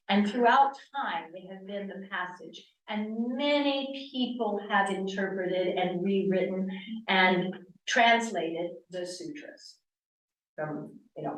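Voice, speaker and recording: A woman's voice: medium pitch, echoey room, distant mic, low-quality recording. A woman in her sixties from Connecticut, a yoga teacher giving an earnest church talk full of rhetorical questions. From Connecticut, US East Coast. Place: church hall.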